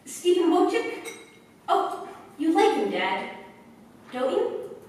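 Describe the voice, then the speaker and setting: A woman's voice: extremely high pitch, sing-song, slow, darkish tone, full character voice. A woman playing an eager, affectionate grown daughter in a 1940s style radio drama. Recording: very echoey stage, distant, faint noise.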